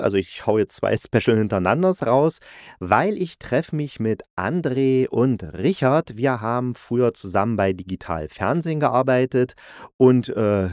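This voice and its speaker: A man's voice: medium pitch, a little mellow, no room sound, studio quality. A middle-aged man from East Germany giving a casual, colloquial and enthusiastic review as a podcast host. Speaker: audio drama critic, podcast host.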